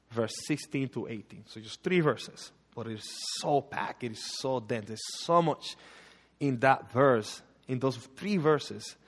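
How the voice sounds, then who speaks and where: A man's voice: mid-range voice, big-room sound. A man in his thirties from Panama with a Panamanian Spanish accent, preaching and praying in church with frequent fillers. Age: 30s. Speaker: guest preacher. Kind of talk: sermon and prayer. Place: church sanctuary.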